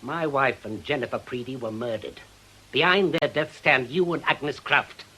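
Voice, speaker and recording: An actor playing a stubborn, vengeful older widower in a British radio drama, blunt, scornful and accusing. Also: male voice, mid-range voice, moderate pace, pushed and bright, breathy edge. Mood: angry, threatening.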